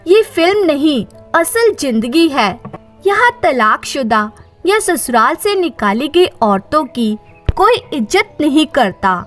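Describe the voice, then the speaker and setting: A woman's voice: high-pitched, sing-song, slow, fairly bright tone, soft background music, heavily acted. A woman voicing a rich, haughty older mother-in-law in a story, scolding with contempt and threat. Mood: outraged, threatening.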